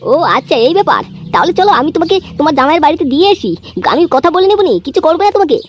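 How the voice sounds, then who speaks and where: A woman voicing a cheeky, scheming, foul-mouthed young lad in a comic cartoon, with artificially raised pitch and crude, excitable slang. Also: very fast, a little hiss or hum. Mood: curious, concerned. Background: music.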